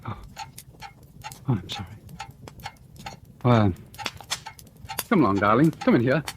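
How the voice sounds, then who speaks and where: An actor playing a hesitant, stammering young doctor in an old radio drama. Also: male voice, mid-range voice, expressive, measured pace, lightly acted. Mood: nervous. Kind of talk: 1940s radio acting. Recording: hissy old radio broadcast.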